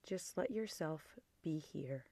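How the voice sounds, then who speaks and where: Slow, low-pitched woman guiding meditation. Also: animated, dry recording. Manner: soft, gentle, soothing, with breathy near-whispered phrase endings. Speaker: meditation guide. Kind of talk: guided body scan meditation.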